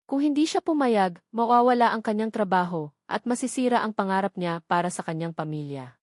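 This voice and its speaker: A woman's voice: medium pitch, lively intonation, a little fast, dry recording, studio quality. A woman with a Filipino accent reading a scripted dramatic story as its narrator.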